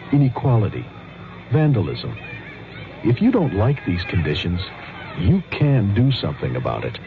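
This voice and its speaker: A man announcing a public service message with emphatic, punchy phrasing. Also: lowish voice. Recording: thin, phone-like 1970s radio spot.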